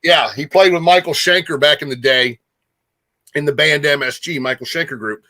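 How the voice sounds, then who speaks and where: A man's voice: a little high, moderate pace, bright, forceful tone. A man hosting a music show in a casual, informal chat, repeating phrases for emphasis.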